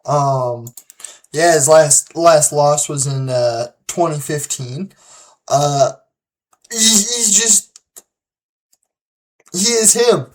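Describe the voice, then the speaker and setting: A host giving relaxed solo commentary and predictions in an unhurried, drawn-out delivery at a middle pitch. The speech is loose, with many restarts and repeated words, and it grows confident and emphatic whenever the host makes a prediction. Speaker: host of a fight-prediction channel.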